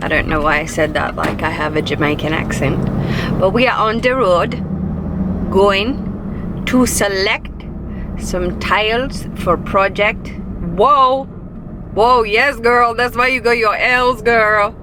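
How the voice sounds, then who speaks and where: A woman's voice: mid-range voice, takes it slowly. A woman in her thirties with an Australian accent, chatting casually to camera from her car for a vlog, rambling and upbeat. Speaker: vlogger. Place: parked car. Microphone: dashboard camera. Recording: noisy car interior.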